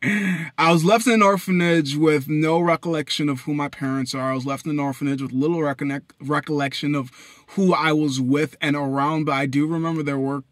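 Young guy venting into his phone in his car, bright forceful voice, dry close sound, swears a lot, loud then mumbly. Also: steady tone, brisk, dry recording. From Haiti. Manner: blunt, profane, swinging between loud outbursts and low mutters. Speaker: vlogger. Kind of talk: rambling personal monologue. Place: inside a parked car at night. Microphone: phone camera at arm's length.

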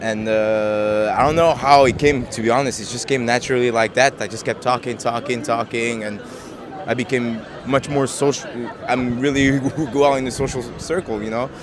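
A man in his twenties from Japan, answering street interview questions in a soft, hesitant way with many fillers and easy laughs, into a handheld mic outdoors. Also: medium pitch, fairly even intonation, normal speed. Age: 20s. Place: busy city square outdoors. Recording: busy street crowd behind.

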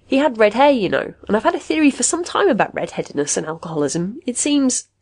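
A woman voicing an eager, excitable man in an audiobook, speaking emphatically. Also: a little high, quick pace, voice pushed up.

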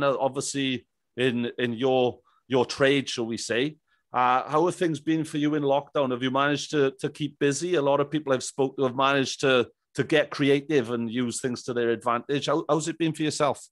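A man's voice: medium pitch, steady tone, moderate pace, dry recording, clean studio sound. A man in his thirties hosting a casual interview, with a chummy, jovial manner. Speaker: podcast host. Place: small indoor room. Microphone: close boom-arm microphone with headphones.

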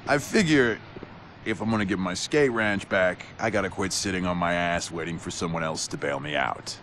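A man playing a gruff, bitter, hot-tempered older skater in a video game cutscene. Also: low-pitched, moderate pace, a bit bright, slight background noise. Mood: gruff, calmer.

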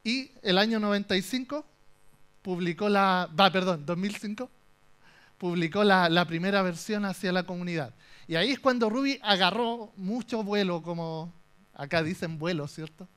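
A man's voice: high-pitched, moderate pace, smooth. A young man with a Chilean accent giving a humorous tech talk on a conference stage, telling the story with jokes and asides. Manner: animated, joking storyteller. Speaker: conference speaker.

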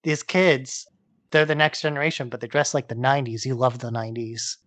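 A man's voice: medium pitch, brisk, fairly bright tone. A man co-hosting a podcast, chatting casually about comics and peppering his sentences with "like". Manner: peppers speech with "like". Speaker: podcast co-host. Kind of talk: casual comic book discussion.

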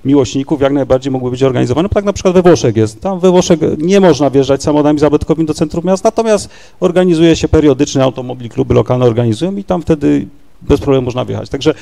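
A man's voice: on the high side, quick pace, vocal fry, mellow. A man speaking on a panel discussion in a rambling, hesitant way, with many fillers and tag questions. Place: large university lecture hall.

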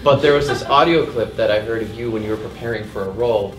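Casting: young male interviewer, quick rambling casual delivery, lowish voice, roomy sound. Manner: rambling, loosely phrased questions. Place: convention press room, indoors. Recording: roomy, mic at a distance.

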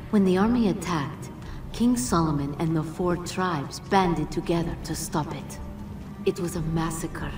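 Woman playing a low-voiced, solemn djinn guardian. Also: lightly acted. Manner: measured, formal, grave delivery. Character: solemn, regal, ancient female djinn guardian. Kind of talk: dramatic video game cutscene acting. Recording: roomy echo, distant, music underneath.

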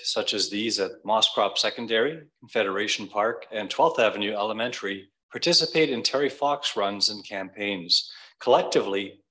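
Bright-voiced man reading school news. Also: fairly low pitch. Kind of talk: scripted narration, read aloud at a meeting.

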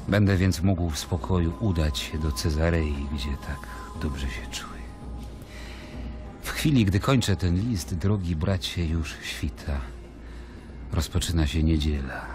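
A very deep-voiced Roman governor in a radio play. He is weary and wary, delivering a measured, disdainful monologue like a letter to family. Music plays clearly behind him. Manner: brooding, measured, disdainful, dropping at times to near whisper.

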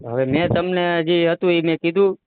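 A man's voice: on the high side, moderate pace, clean tone. A man with a Kathiawadi Gujarati accent giving advice on a phone call, explaining things and often checking that he is understood. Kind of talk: advisory phone conversation. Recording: noisy phone line with clicks.

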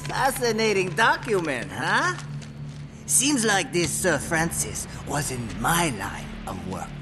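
A smug video game pirate villain. He taunts slowly with a lively, swinging pitch. His voice rings in a reverberant chamber with music behind. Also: full character voice. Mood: smug menace. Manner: taunting, drawn-out. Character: smug, menacing male pirate gang boss.

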